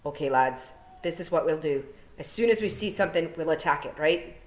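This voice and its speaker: A woman voicing fierce, rough tiny pixie men in a storybook, with Scots dialect. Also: low-pitched, expressive, brisk. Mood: bold. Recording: roomy echo.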